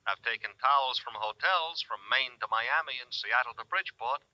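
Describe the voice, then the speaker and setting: A man's voice: medium pitch, normal speed, pushed and bright, no room sound. A man playing a blunt, pushy talent agent in a 1950s radio drama. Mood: gruff. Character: brash, defensive male talent agent. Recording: dull lo-fi vintage radio recording.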